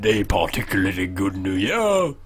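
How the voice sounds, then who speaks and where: Man doing a crotchety old geezer, husky, swooping, curt. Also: low-pitched, very animated, moderate pace, faint music behind, full character voice. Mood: grumpy. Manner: curt, shooing people away. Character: grumpy old man, busy and dismissive.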